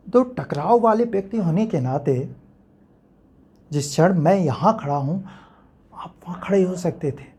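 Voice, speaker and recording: A man acting a theatrical monologue as an enigmatic, uncertain man who addresses his audience in a reflective, rhetorical manner with deliberate pauses. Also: high voice, a little mellow, slightly rough, heavily acted. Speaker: actor.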